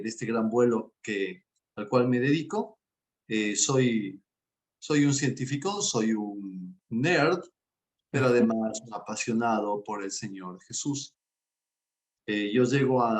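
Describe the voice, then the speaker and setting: A man, a guest coach speaking in an earnest, enthusiastic way in a casual interview. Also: lowish voice. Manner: warm and earnest, speaks with enthusiasm about faith.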